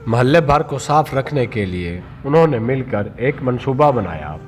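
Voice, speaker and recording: A man reading a scripted moral story for children as a storyteller, in a measured read-aloud manner. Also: medium pitch, animated, a little mellow, soft background music.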